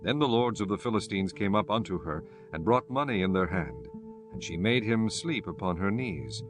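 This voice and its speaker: Low-voiced man narrating scripture over soft music. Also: animated, moderate pace.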